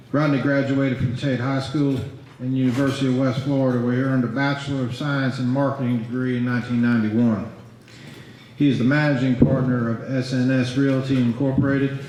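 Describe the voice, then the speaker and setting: A man reads a formal introduction at a podium, his delivery even and flat. His voice rings with heavy echo through a large hall, with faint room noise underneath. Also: mid-range voice, normal speed. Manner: steady, reads a list of credits. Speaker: presenter introducing inductees. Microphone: podium microphone. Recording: very echoey hall over a PA.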